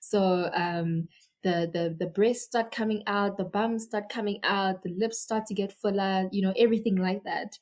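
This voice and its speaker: A young woman from Zimbabwe talks as a podcast guest in a relaxed conversational style. She tells her story with energy and often restarts her sentences. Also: medium pitch, moderate pace. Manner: animated storytelling with repeated restarts. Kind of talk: casual conversation.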